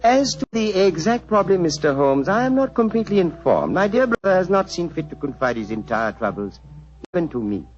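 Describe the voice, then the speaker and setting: Suave, animated Indian prince. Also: male voice, high voice, a little fast. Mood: courtly. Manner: formal, ornate, smoothly deferential. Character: suave, courtly Indian prince, a Maharaja's brother. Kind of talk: 1940s radio acting. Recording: muffled 1940s radio recording.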